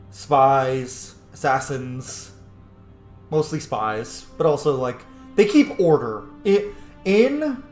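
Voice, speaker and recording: A game streamer giving casual let's-play commentary, musing aloud and swearing now and then. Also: medium pitch. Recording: roomy echo, faint game music behind. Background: game music.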